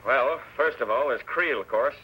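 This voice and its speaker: A righteous small-town sheriff of the old West, gruff and plain-spoken. His bright, hard-driving voice has the blunt delivery of old radio western drama. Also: medium pitch, normal speed, low-quality recording. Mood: grave recalling. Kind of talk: vintage radio western acting. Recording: old radio broadcast recording.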